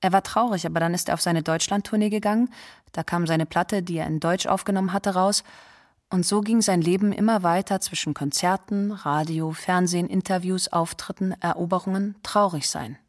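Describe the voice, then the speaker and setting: An actress playing a wistful, resigned former lover who recalls a star's life in a radio play. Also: female voice, fairly low pitch, steady tone, fairly quick, lightly acted. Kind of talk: radio play documentary monologue.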